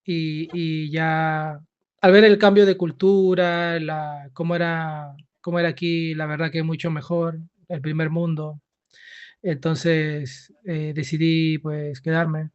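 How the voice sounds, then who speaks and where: A man in his thirties speaks with a Peruvian accent, his voice pitched high and smooth. He answers in a soft, hesitant way, pausing often. The sound is dull and lo-fi. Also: level tone. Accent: Peruvian Spanish. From Peru. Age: 30s. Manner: soft-spoken, hesitant, pauses with fillers. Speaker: author being interviewed. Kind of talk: casual interview answers.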